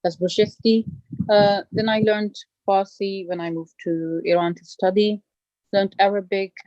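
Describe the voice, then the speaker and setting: A woman's voice: mid-range voice, fairly even intonation, unhurried, darkish tone, dry recording. A woman from northern Pakistan, a guest lecturer answering questions modestly with many fillers. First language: Burushaski. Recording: dry, lo-fi call audio.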